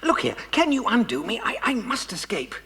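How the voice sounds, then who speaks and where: An actor playing an agitated, earnest kidnapped scientist who speaks haltingly in a children's audio drama. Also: mid-range voice, wildly swooping pitch, vocal fry, a bit bright, exaggerated voice. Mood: startled, anxious. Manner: halting, stammering. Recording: long reverberant hall sound.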